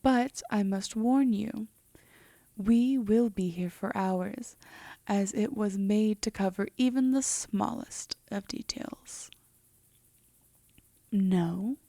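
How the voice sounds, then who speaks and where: A woman playing a composed, teasing female demon in an intimate roleplay audio drama, often slipping into a breathy half-whisper. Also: medium pitch, slow, mellow, no room sound, clean studio sound. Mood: smug, teasing.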